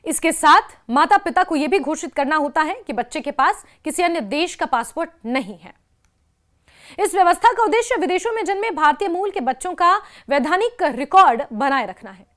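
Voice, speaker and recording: A woman with an Indian accent narrates a scripted explainer in a high-pitched voice. Her intonation moves up and down a great deal. She presents like a news explainer, teaching point by point. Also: lively intonation, normal speed, fairly bright tone.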